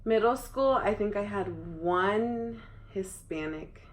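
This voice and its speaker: A woman's voice: medium pitch, animated, takes it slowly. Young woman chatting in an echoey room. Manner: conversational, frequent filler "like". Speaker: interviewee. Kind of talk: casual conversation.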